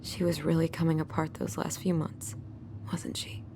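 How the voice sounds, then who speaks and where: A woman's voice: sits low, flat delivery, normal speed, vocal fry, warm tone, whispered. An actress playing a protective, pragmatic young American woman in a dramatic video game, wry and earnest in manner. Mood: quiet, sad. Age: young adult. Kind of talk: naturalistic dramatic game acting.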